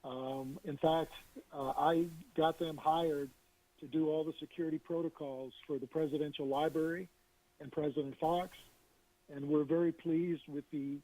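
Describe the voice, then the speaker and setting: A man's voice: on the high side, steady tone, normal speed, warm tone, rough recording. A man being interviewed as a business consultant, speaking confidently and a bit salesy, with hesitant fillers. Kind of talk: interview answers.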